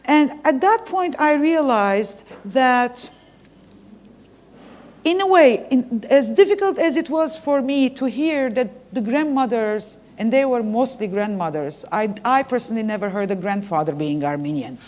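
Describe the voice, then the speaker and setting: A woman from Lebanon interviewing a guest live, speaking in an earnest, reflective way and pausing to search for words. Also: fairly high pitch, lively intonation, darkish tone.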